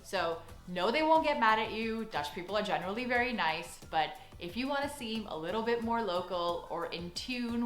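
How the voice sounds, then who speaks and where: A woman's voice: medium pitch, fairly bright tone. A woman giving friendly, earnest advice in a scripted vlog. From United States. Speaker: vlogger. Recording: echoey room with background music.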